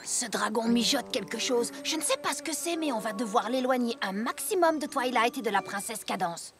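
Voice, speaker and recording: Woman voicing a wary pony, fairly high voice. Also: animated, normal speed, natural voice. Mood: suspicious. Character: wary, no-nonsense female cartoon pony. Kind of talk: French cartoon dub acting. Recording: clear background music.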